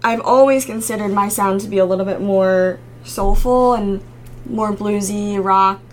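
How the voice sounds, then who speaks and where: A young woman with a raspy voice, a singer-songwriter guest chatting casually in an interview with frequent ums. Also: medium pitch, measured pace, slight background noise. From Maryland, United States.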